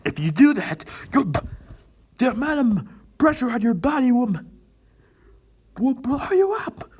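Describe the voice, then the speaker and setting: A woman playing an excitable, intense martial arts fighter in an amateur anime fan dub, with halting, melodramatic exclamations. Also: a little high, husky, full character voice. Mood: alarmed.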